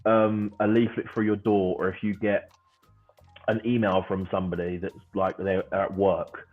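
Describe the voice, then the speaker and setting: A chatty man in his thirties with a very deep voice, ranting playfully on a livestream podcast. His audio comes through thin and phone-like, as if over a call. Faint music plays behind. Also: flat delivery, moderate pace. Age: 30s. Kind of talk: casual livestream chat.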